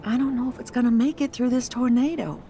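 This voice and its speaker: A woman's voice: on the high side, fast talker, warm tone, a little hiss or hum, lightly acted. An actress playing a caring, no-nonsense middle-aged American diner woman in a video game, motherly and bossy. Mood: relieved, worried.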